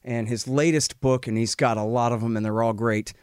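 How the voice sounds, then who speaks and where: A man hosting a casual podcast interview, speaking in a relaxed, folksy drawl filled with uh's. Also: mid-range voice, a little fast, clear, resonant tone, dry recording, clean studio sound. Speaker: interview host.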